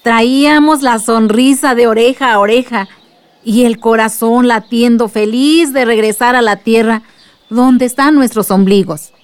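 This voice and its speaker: An actress plays a kindly Mexican aunt in a radio drama. She reminisces gently in a fairly high voice, telling her tale as if to a child. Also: measured pace, close to an everyday voice. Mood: fond, nostalgic.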